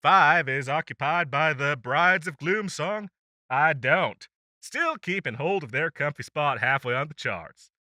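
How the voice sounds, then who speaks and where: Man acting as chatty male demon radio host, showy countdown patter, sharp bright tone, slightly high pitch, teasing, enthusiastic, audio roleplay. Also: lively intonation, unhurried, no room sound, studio quality, exaggerated voice.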